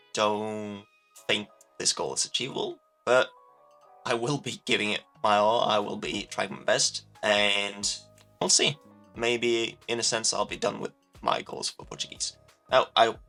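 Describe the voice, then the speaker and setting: Gravelly, bright-voiced young man vlogging. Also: fairly low pitch. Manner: relaxed, rambling, frequent fillers and self-corrections. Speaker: language-learning vlogger. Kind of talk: casual talking-to-camera update.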